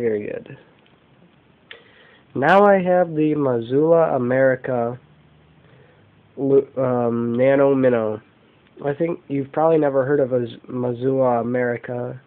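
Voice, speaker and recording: A man with a mid-pitched voice talks slowly through an informal product show-and-tell. His delivery comes in short, halting phrases. The sound is muffled and narrow, like a cheap camera mic in a room at home. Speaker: amateur fishing vlogger showing lures. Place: indoors, carpeted room at home. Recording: dull, muffled lo-fi home video.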